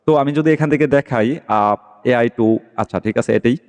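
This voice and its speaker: A man's voice: mid-range voice, moderate pace, a little mellow. A man hosts a casual tech tutorial in an ordinary mid-range voice. He explains patiently and keeps checking that the listener is following. His words carry a long, reverberant tail. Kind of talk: casual app tutorial voice-over.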